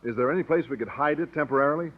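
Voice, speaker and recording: A man's voice: a little high, racing, close to an everyday voice. A man playing a mild, naive, good-natured schoolteacher in a 1950s radio sitcom. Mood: friendly. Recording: dull, noisy 1950s radio recording.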